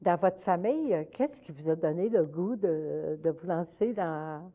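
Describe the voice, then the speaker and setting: A woman with a Québécois French accent, hosting a community TV interview, courteous and quick with short acknowledgements. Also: on the low side, lively intonation, mellow.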